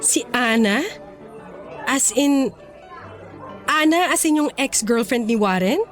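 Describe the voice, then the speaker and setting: An actress playing a married housewife and mother who is expressive and probing with her friend, in radio drama dialogue. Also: on the high side, slow pace, faint music behind. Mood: suspicious, uneasy.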